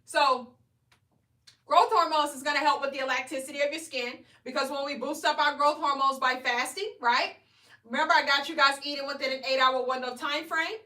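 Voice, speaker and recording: 40s woman coaching weight loss on a live, high bright voice, echoey room, sounds a bit off mic. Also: a little fast, distant mic. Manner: energetic, preachy, keeps asking "right?". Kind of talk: live stream motivational talk.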